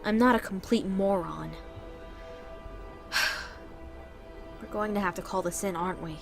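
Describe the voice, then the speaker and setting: A woman's voice: medium pitch, unhurried, creaky, faint music behind. A woman playing a proud, ruthless female agent in an amateur audio drama, terse and scornful in manner. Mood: brisk.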